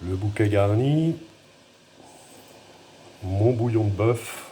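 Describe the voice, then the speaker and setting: A man's voice: fairly low pitch, takes it slowly, mellow, slight background noise. A man with a noticeable regional French accent walking through a home recipe, filming on a handheld phone in his kitchen and talking in a hesitant, self-deprecating way. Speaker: home cook. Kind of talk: casual cooking demonstration.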